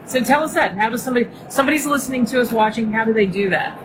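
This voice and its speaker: A woman hosting an interview, encouraging and affirming her guest, then firing off quick follow-up questions. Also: a little high, a crowd in the background, poor audio. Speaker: interviewer host. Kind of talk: casual interview chat.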